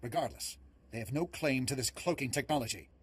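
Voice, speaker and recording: Husky, animated Republic official. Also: male voice, medium pitch, fairly quick. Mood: firm. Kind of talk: video game voice acting.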